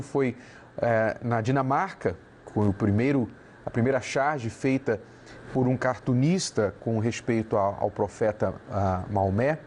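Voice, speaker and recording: A man's voice: mid-range voice, a little mellow, a little hiss or hum. A man hosting a talk program, asking guests questions and moderating politely. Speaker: host.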